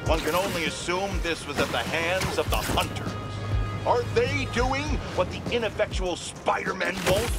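A man's voice: high-pitched, moderate pace, over loud music. A man playing a scornful, pompous media pundit delivering rhetorical broadcast commentary in a video game. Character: scornful, opinionated male media pundit attacking superheroes.

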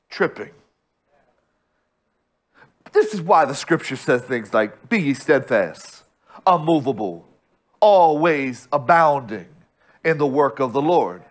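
A man in his thirties preaching a sermon as pastor from a church stage, repeating his points with emphasis and frequent restarts. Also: mid-range voice. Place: church stage with bright screen backdrop.

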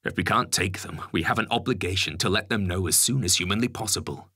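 Man playing an appalled, husky-voiced Prime Minister. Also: sits low, quick pace, pushed and bright, dry recording, studio quality, full character voice. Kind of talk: acted dialogue in a dramatic story reading.

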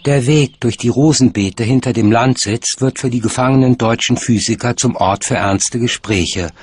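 A man's voice: medium pitch, normal speed, slightly rough. A man reading scripted narration as the narrator of a documentary.

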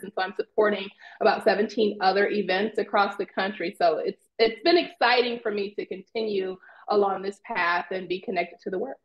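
A woman in her forties from Indiana, a psychology professor, giving a fluent, organized account of her work in an interview over a video call. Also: medium pitch. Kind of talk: interview answer. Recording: muffled video call audio.

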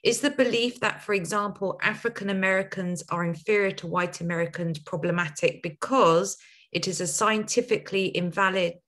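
A woman's voice: medium pitch, brisk, a bit bright. A woman moderating an online talk, politely reading out a question from the audience. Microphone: video call.

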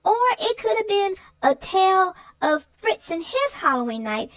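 A woman with a very high-pitched voice, speaking as a co-host. She gives casual, conversational commentary on a children's book. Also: very animated, normal speed, fairly bright tone.

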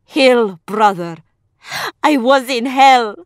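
A teenage girl back from hell, played by a woman, her voice high and her speech very slow and deliberate. She sounds earnest and emotional, and the recording is dry and close. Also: smooth, no echo.

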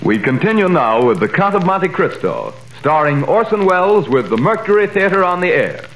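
A 1930s radio announcer, a man with a fairly high and very lively voice, delivering his lines formally and crisply. The broadcast sound is roomy with a faint hiss. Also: normal speed.

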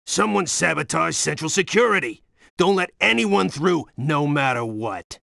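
A man playing a bossy, gruff security guard in a game, barking commands. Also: a little high, lively intonation, measured pace, pushed and bright, dry recording, clean studio sound, full character voice. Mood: alarmed, stern.

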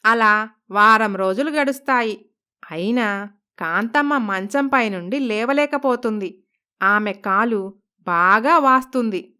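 Female storyteller, high pitch, crisp clean voice, scripted moral tale narration. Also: slow, long pauses, sharp tone.

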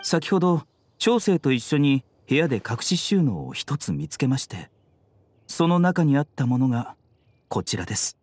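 Polite, softly teasing young doctor, mid-range voice with expressive pitch movement, composed anime game role. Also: male voice, moderate pace. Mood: grave. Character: courteous, composed young male physician, gently enigmatic.

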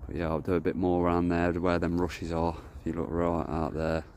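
A deep-voiced man talks in a loose, rambling way while walking a lakeside with his phone. His speech wanders and restarts, with a faint hiss of outdoor wind behind him. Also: completely flat delivery, fairly quick, a little mellow. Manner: rambling, chatty, self-correcting. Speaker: carp angler vlogger. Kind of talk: casual walk-and-talk vlog. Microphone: phone camera held while walking.

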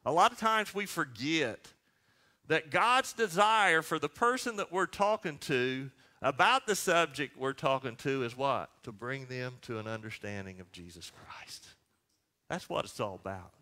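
A man's voice: medium pitch, animated, a little slow, pushed and bright. A man in his sixties preaching a sermon as pastor, speaking emphatically and posing questions that he answers himself. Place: church sanctuary.

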